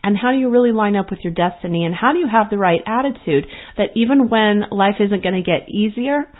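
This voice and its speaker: A woman hosts her own podcast in an earnest, reflective monologue, slipping into the voices of the people she describes. Her voice sits in a middle register and comes through thin and phone-like, with the low and high ends cut away. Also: a little fast. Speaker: podcast host and coach. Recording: phone-like narrow sound.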